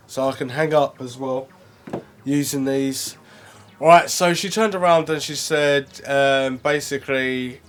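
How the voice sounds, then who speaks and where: A young man reviewing earphones in a casual, rambling way, full of fillers and restarts. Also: mid-range voice. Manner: rambling, self-correcting, lots of fillers. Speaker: product reviewer.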